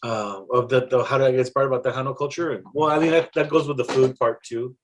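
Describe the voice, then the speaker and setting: A man from South Texas with a South Texas accent, a poet talking about his work in a casual interview, reflective and halting, with many restarts. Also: medium pitch, steady tone. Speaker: poet guest.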